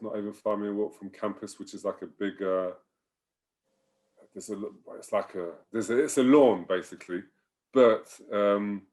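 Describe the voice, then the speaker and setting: A man who works at a university chats on a video call, relaxed and rambling. His sentences often trail off softly. His voice sits fairly low. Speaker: university staff member. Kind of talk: casual panel chat.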